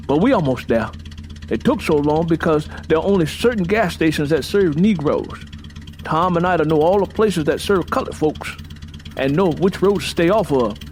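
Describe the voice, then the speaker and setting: An actor playing a stubborn, plainspoken young Southern husband in an audio drama, folksy and insistent, with a Southern US accent. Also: male voice, fairly high pitch, darkish tone, a little hiss or hum, lightly acted. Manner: folksy, insistent, long-winded.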